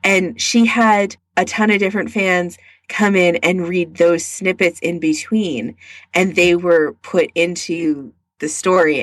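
Female podcast co-host, slightly low pitch, informal conversational delivery, close dry sound. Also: no echo. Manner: informal, peppered with "like". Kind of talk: casual podcast chat.

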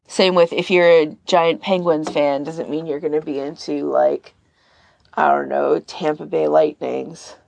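A woman's voice: low-pitched, steady tone, unhurried, vocal fry, a little mellow. A woman from Canada chatting casually as a podcast host, rambling and peppering her talk with "like". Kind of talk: casual chat.

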